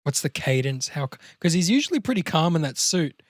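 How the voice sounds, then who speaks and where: A man's voice: fairly high pitch, big pitch swings, fairly quick, dry recording, clean studio sound. A man chatting casually as a podcast co-host, informal and bantering with plenty of slang.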